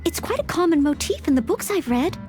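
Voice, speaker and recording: A woman's voice: extremely high pitch, very animated, brisk, darkish tone, soft background music. A woman playing an earnest, polite young maid knight in a video game, speaking formally and a little hesitantly, eager to help. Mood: musing, earnest. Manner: formal, courteous, hesitant phrasing. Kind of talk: scripted anime-style video game acting.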